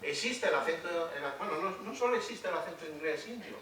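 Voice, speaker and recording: A man's voice: on the high side, lively intonation, a little fast, pushed and bright. A middle-aged man giving a university lecture, speaking ironically with many hesitations and restarts. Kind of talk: public lecture. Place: lecture hall. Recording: roomy echo from a distant mic with faint noise.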